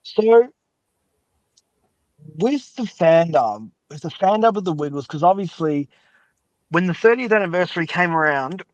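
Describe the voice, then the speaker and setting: A man's voice: sits high, expressive, a little slow, pauses often, no echo, highs cut off. A man chatting casually as a podcast guest, giving his opinions in a rambling way and often qualifying what he says. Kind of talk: casual chat.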